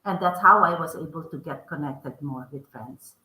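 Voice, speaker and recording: A woman's voice: low voice, normal speed, sharp tone, roomy sound, low-quality recording. A middle-aged woman from the Philippines with a strong Filipino accent, answering interview questions earnestly, often restarting her sentences. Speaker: podcast guest, author.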